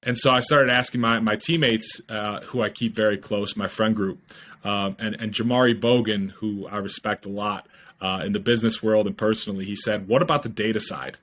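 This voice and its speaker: A man's voice: lowish voice, steady tone, fairly quick, a bit bright. A young man in his twenties, a startup founder, explaining eagerly in a casual podcast interview over a video call with a headset, with many fillers. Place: home room, video call.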